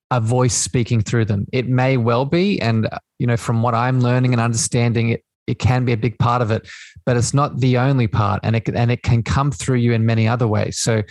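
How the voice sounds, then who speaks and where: A man's voice: medium pitch, darkish tone, no echo. A man hosting a conversational interview podcast, explaining things earnestly and at length, repeating phrases for emphasis. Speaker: podcast host.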